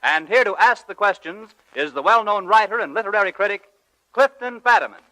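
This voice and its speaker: A man's voice: high voice, a little fast, noisy background. A man making formal announcements in 1940s radio style on an old broadcast recording.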